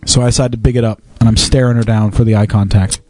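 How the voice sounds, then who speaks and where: A man's voice: fairly low pitch, fairly even intonation, fast, mellow, faint music behind. A man with a Canadian accent telling a story in casual, teasing banter, laughing often.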